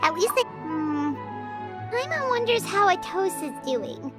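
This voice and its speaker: A woman voicing a tiny, childlike, chatty fairy companion in a video game, expressive and curious. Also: extremely high pitch, a little slow, over music, heavily acted. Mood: curious, concerned. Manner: refers to herself in third person, expressive.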